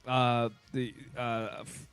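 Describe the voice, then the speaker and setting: A man's voice: medium pitch, monotone, slow pace, a bit bright, clear, resonant tone. A man co-hosting a podcast in casual, enthusiastic movie chat, profane and slangy, often repeating himself as he searches for words. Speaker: podcast co-host.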